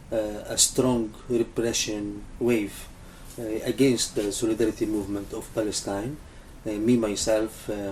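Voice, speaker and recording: A man from Lebanon answering interview questions, speaking English haltingly with frequent pauses and filler sounds. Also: fairly low pitch, a little mellow. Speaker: interview guest, activist coordinator. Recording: distant mic with faint ambient noise.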